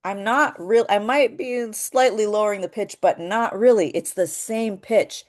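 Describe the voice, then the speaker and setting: A woman with a naturally deep voice, leading a voice workshop in a thoughtful, searching way, with many pauses and restarts. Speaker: voice workshop leader. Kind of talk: reflective workshop talk.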